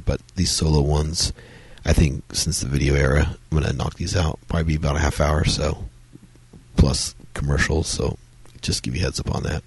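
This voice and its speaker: A man hosting a solo film review podcast, talking loosely with lots of fillers, his voice strained by a cold. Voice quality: very deep, gravelly. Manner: rambling, many fillers and restarts. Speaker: podcast host reviewing films. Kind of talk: solo casual review talk.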